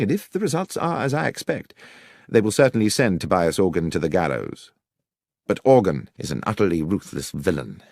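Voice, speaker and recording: Male reader as brilliant British detective: grave, brisk, precise, animated intonation, audiobook drama. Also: deep voice, no echo, studio quality. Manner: authoritative, precise, formal.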